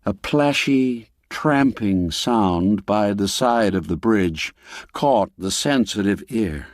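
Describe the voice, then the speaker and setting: A man narrating a classic ghost story as a dramatic reading, measured and full of deliberate pauses. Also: lowish voice, animated, no echo, clean studio sound.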